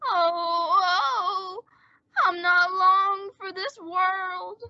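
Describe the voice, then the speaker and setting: A woman playing a melodramatic, lovesick, boastful teenage boy in an old-time radio comedy, with drawn-out theatrical delivery. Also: extremely high pitch, fairly even intonation, no room sound, heavily acted. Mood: mock-dying, self-pitying. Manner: drawn-out mock-dying groans and boyish bluster. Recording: dull, band-limited dry audio.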